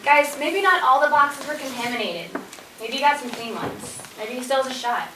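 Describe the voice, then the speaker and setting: Woman acting as pleading young survivor, high voice, amateur theatre, echoey distant recording. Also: normal speed, noisy background, natural voice. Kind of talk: amateur one-act stage play.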